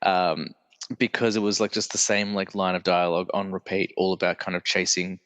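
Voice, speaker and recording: Nasal-sounding man with a low voice. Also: little pitch movement, fairly quick, a bit bright, big-room sound. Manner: rambling, self-correcting. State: congested from illness. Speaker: podcast host. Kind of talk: casual solo podcast chat.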